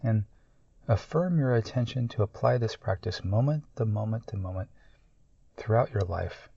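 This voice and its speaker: A man leading a guided meditation in a calm, soothing, measured way, pausing often between short phrases. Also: on the low side, gravelly, slightly rough, dry recording, highs cut off.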